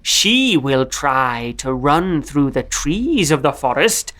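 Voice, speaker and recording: A helpful female cat from a storybook, voiced by a woman, speaking very slowly and deliberately. Each phrase is stretched out, with a lively rise and fall. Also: extremely low pitch, heavily acted. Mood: instructing. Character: female cat, helpful, long-neglected servant of a witch.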